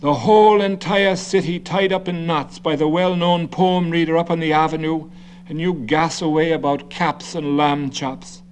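Brief: male reader as a blustering, red-faced old ward politician, ranting, slightly lower than his narration. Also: fairly even intonation, normal speed, a little hiss or hum, close to an everyday voice. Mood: exasperated. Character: combative old city politician, hot-tempered.

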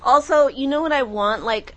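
A woman co-hosting a call-in radio show, chatting loosely with quick jokes and asides. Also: high voice, slight background noise. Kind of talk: casual radio chat taking callers.

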